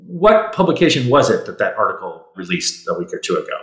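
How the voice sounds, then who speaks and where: A man's voice: fairly low pitch, big pitch swings, fairly quick, a bit bright. A man hosting an interview podcast who greets listeners with enthusiasm and reads out a guest's long introduction. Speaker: podcast host. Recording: echoey room with faint music.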